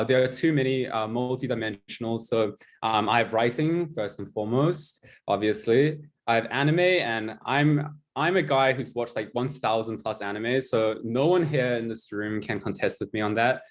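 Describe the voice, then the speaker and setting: A young man in his twenties with a New Zealand accent, giving a casual, self-mocking presentation over a video call with lots of ums. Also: on the low side. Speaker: guest presenter, tutor.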